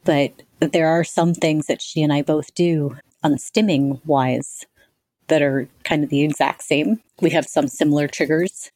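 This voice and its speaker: Low-voiced woman, reflective and articulate. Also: a little slow, dry recording. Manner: reflective, articulate, self-described monotonous voice. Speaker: guest. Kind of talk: conversational interview answers.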